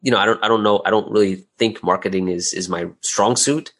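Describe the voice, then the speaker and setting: A man's voice: lowish voice, a little fast, fairly bright tone. A man speaking as an interview guest, explaining his business informally and often repeating words as he gathers his thoughts. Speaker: interview guest, audio drama creator and business owner. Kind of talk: casual explanatory interview talk.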